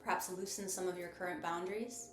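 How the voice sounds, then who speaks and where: A woman's voice: lowish voice, steady tone, quick pace. Woman guiding a meditation in roomy echo. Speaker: guided meditation host and sound bath guide. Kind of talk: guided meditation narration. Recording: roomy echo with music behind. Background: music.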